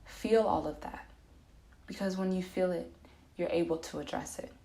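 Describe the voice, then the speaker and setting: A woman leading a guided meditation in an informal, gentle, unhurried and soothing way. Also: fairly low pitch, gravelly.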